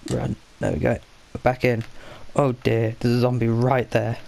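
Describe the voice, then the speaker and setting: A man with a stuffy, blocked nose talks his way through a game session in a loose, rambling way. He often stops mid-sentence to correct himself and mutters little asides to himself. His voice sits at an ordinary pitch and has a gravelly edge. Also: level tone, moderate pace, darkish tone. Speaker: gaming channel host. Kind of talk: casual gameplay commentary.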